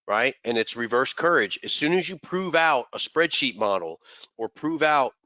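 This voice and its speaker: A man's voice: medium pitch, sharp tone, no room sound. A man teaching trading in a loose live session, congested and rambling, often repeating words. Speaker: trading mentor. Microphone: desk microphone.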